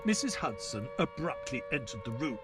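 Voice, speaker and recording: An actor playing an earnest male doctor who narrates an audio drama as his memoir, with expressive, dramatic delivery. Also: mid-range voice, normal speed, heavy background noise, natural voice. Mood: measured, atmospheric.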